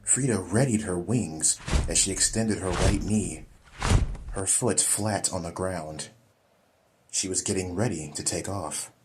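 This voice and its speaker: A man narrating a scripted audiobook story in a measured, deliberate, dramatic storytelling style. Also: sits low, background noise.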